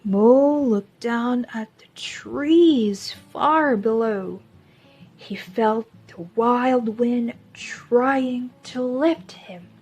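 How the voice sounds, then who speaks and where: A woman reading a children's storybook aloud as a teacher, in a deliberate storytelling manner. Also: on the high side, darkish tone, slightly rough, soft background music, low-quality recording.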